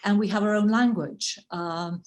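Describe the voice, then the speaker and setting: A woman's voice: medium pitch, normal speed, darkish tone. A woman from rural North Wales with a Welsh accent, giving a chatty, self-deprecating personal talk at a club meeting over a video call.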